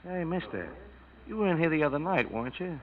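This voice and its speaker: A man's voice: a little high, animated, a little fast, lightly acted. An actor playing a chatty, friendly coffee shop counterman with casual, slangy talk in a 1940s radio drama. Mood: confiding. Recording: thin, phone-like old radio recording.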